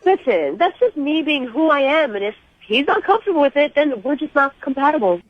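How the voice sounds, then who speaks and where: A woman calling in over a dull, noisy line. Her high voice moves up and down in lively swings, and she chats bluntly, without apology. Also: fairly quick. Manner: blunt, unapologetic. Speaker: phone-in guest. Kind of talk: conversational phone call.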